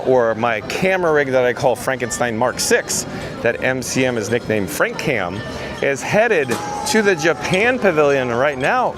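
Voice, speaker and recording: A man with a hoarse, raspy voice live-streaming from outdoors at a theme park, reading viewer comments aloud in an upbeat, chatty way. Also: fairly high pitch. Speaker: vlogger. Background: music.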